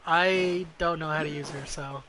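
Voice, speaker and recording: A man's voice: on the high side, steady tone, normal speed, bright, forceful tone, a little hiss or hum, poor audio. A man doing casual gameplay commentary as a streamer, blunt and sweary, often muttering to himself. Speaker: video game streamer. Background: game music.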